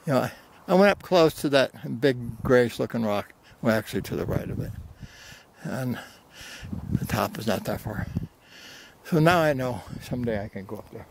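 A man's voice: medium pitch, expressive, moderate pace, gravelly, noisy background. A man of about seventy narrating his hike casually, in a folksy, self-deprecating way, into a handheld camera outdoors. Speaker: hiking vlogger. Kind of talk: casual narration while hiking. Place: outdoors on a rocky desert mesa slope. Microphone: handheld camera at arm's length.

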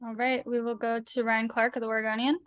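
Even-toned woman, higher voice, dry sound. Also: quick pace, no echo, highs cut off. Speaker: press conference moderator. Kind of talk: brisk formal moderating.

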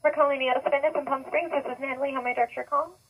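A woman's voice: sits high, fairly even intonation, very fast, a bit bright. A woman working as a gym receptionist, giving routine greetings over a tinny phone line.

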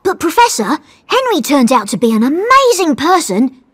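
An actress voicing a curious, admiring boy apprentice in an animated game. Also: high-pitched, moderate pace.

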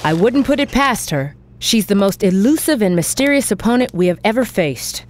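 Actress as an expressive, probing woman debriefer. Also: mid-range voice, lightly acted. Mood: calm, probing. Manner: measured, probing. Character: calm, inquisitive, sceptical female debriefer. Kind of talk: video game cutscene acting.

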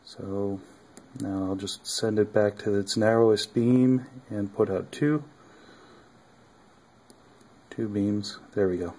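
A man's voice: fairly low pitch, mellow, a little hiss or hum. Hesitant man demoing a gadget. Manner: halting, many ums and uhs. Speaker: hobbyist maker. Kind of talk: casual project demo. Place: home workbench indoors.

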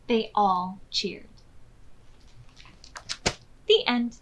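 An American woman in her thirties hosting storytime, reading aloud expressively and clearly to young children. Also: mid-range voice, fairly even intonation, drawn-out words, muffled recording, faint music behind. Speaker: children's storytime host at a public library. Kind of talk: read-aloud storytelling for preschoolers. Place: small furnished room indoors.

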